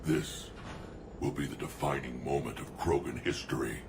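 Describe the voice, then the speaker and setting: An actor playing a huge, gruff alien warrior chieftain in a video game, blunt, commanding, proud and battle-hungry, with a growling voice. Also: male voice, a bass voice, moderate pace, background noise. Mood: solemn, resolute. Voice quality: gravelly, growling. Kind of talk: video game cutscene acting. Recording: loud music behind the voice.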